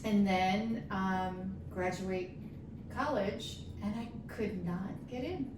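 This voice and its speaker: A woman's voice: mid-range voice, takes it slowly, background noise. Woman telling her story in an echoey room. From Long Island, New York. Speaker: podcast guest, lawyer. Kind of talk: casual personal storytelling. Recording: echoey room, distant from the mic.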